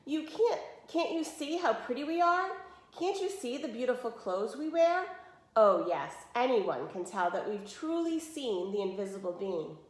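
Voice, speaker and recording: A woman's voice: a little high, big pitch swings, a little slow. A woman voicing two cruel, vain young sisters in a storybook, boastful, insistent and whiny. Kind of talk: storybook read-aloud. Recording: roomy, echoey indoor sound.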